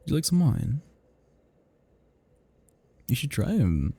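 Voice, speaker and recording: A very dark, velvety-toned male vampire, performed by a man for roleplay ASMR, murmuring softly and slowly with a gravelly creak. He is seductive and possessive, teasing his prey with playful menace and swinging expressively in pitch. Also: huge pitch swings, full character voice. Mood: wry, casual. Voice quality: low, soft, breathy murmurs dropping into whispers. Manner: teasing, slow, lingering, chuckling between phrases. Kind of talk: intimate roleplay ASMR monologue.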